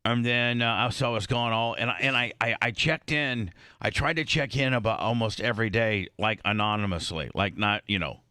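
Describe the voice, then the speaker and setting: A man hosting a morning radio show, talking casually in halting, rambling bursts with many restarts, sounding a bit congested. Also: fairly low pitch, bright, forceful tone, smooth, no room sound, studio quality. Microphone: studio microphone.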